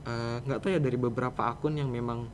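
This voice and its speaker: A man's voice: mid-range voice, steady tone, quick pace, a little hiss or hum. A teenage male student with a Jakarta Indonesian accent, answering casually and a little hesitantly as a podcast guest. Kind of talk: casual interview chat.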